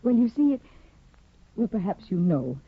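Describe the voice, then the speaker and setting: An actress playing a frightened, pleading young wife who stammers anxiously, in a 1950s radio drama. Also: female voice, mid-range voice, sing-song, normal speed, breathy edge, dry recording. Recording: tinny, dry 1950 radio broadcast.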